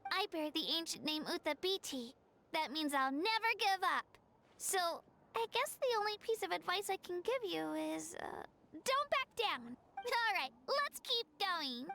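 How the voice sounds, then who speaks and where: A young girl in a video game, with a very high voice that has some creak in it. She is gentle and earnest, encouraging others in a soft-hearted, slightly hesitant way. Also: expressive, unhurried, slight background noise, full character voice. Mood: shyly encouraging.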